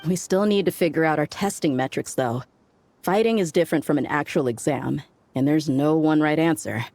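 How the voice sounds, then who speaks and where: A woman's voice: sits low, normal speed, darkish tone, a little hiss or hum. A woman playing a breezy, cocky desert mercenary in a video game, talking in a casual, teasing way. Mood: easygoing, confident.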